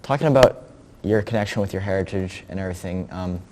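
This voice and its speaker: A man's voice: low voice, fast, big-room sound, noisy background. A teenage boy interviewing an artist, asking hesitant questions full of "um" and "like". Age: teens. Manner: hesitant, many "um" and "like" fillers. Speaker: teen interviewer. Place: gallery.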